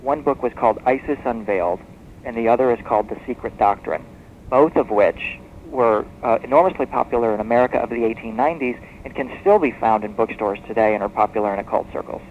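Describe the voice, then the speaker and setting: A man speaking as an expert guest in a radio interview, explaining history as a story in a measured, discursive way. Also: medium pitch. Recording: muffled noisy shortwave radio tape.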